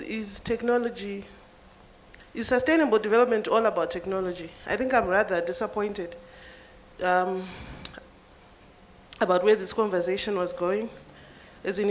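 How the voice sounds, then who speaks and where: A woman from Zimbabwe in the audience, making an earnest, critical point during a panel Q&A. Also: medium pitch. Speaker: audience member asking a question.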